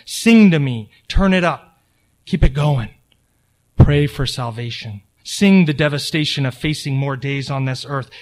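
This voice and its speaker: A cold woman guard talks down to her prisoner in slow, deliberate, menacing words, as a man reads her aloud in his own plain voice. The tone never lifts into warmth. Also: mid-range voice, lightly acted. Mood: goading. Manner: slow, deliberate, menacing second-person address.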